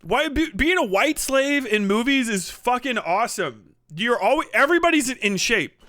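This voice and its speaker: A man doing a casual, profane comedic rant on a livestream, animated and slipping into mocking impressions. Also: very high voice, normal speed, no room sound, studio quality. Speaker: streamer.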